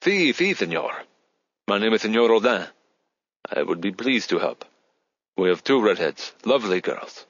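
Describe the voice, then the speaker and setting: A slick Spanish agency boss, voiced by a male narrator, courteous and wary. He talks formally like a polished salesman, pitched above the reader's own voice. The audiobook sound is dull and echoey. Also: moderate pace, lightly acted. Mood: slick, obliging.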